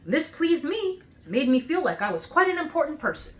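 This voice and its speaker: A woman acts out a storybook scarecrow with a higher-pitched voice and lots of rise and fall. The character is naive, cheerful and earnest, telling things with clear emphasis. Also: sing-song, normal speed. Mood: wistful, earnest.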